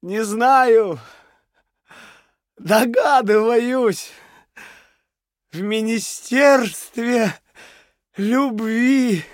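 A broken male prisoner in a radio drama. His high-strung, anguished voice drags extremely slowly, halting and frightened, as one under torture. The recording is dry. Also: no room sound, full character voice. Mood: exhausted, fearful. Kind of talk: dramatic radio play acting.

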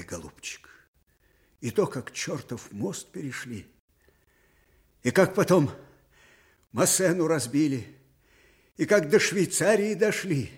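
An actor playing a weary, grieving old field marshal in a radio drama, halting and emotive. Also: male voice, a little high. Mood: tender, urgent, then proud, fervent. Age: older. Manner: halting, emotive, swelling from hushed to fervent. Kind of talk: dramatic radio play acting.

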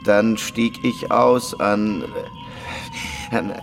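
A confessed bomber, a simple Soviet villager with a lowish voice. He speaks very slowly and deadpan, matter-of-fact to the point of chill. The radio play puts him in a long, ringing reverb with music behind. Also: vocal fry, exaggerated voice. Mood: flat confession. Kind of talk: radio crime drama acting.

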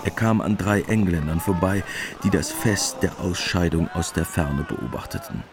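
A man's voice: low-pitched, normal speed, noisy background. A man narrating a radio play in a matter-of-fact, scripted style.

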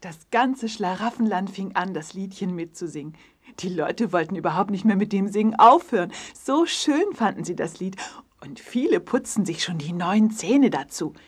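A woman's voice: medium pitch, animated, normal speed, husky. A woman narrating a children's fairy tale in a scripted storytelling style.

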